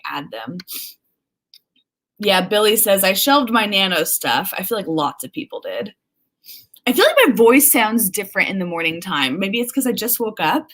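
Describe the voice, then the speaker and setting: Chatty woman with swooping, lively pitch. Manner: chatty, exclamatory, acts out remarks. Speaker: livestream host. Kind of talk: casual livestream chat reading viewer comments.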